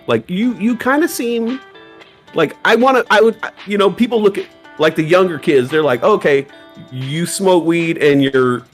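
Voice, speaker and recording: A man in his forties co-hosting a casual livestream chat, lively and acting out voices of people he quotes. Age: 40s. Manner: animated, acts out quoted voices with big pitch jumps. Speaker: livestream co-host.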